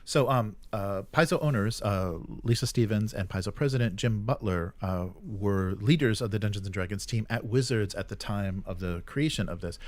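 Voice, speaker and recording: Low-voiced man presenting gaming news, dry sound. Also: a little mellow, no echo. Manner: halting, hesitant delivery while presenting. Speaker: co-host of a tabletop gaming news show. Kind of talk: casual news rundown and chat.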